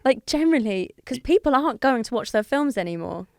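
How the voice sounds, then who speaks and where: A woman's voice: a little high, a little fast, vocal fry, no room sound, studio quality. A young woman, a podcast guest, chatting casually, swearing freely and getting heated. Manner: animated, swears, gets heated. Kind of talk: casual chat.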